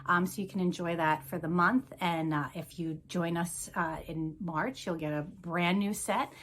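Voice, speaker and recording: A woman's voice: lowish voice, steady tone, unhurried, a bit bright, slight background noise. A woman hosting a children's storytime, talking casually and reading a picture book aloud. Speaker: children's museum staff storytime host.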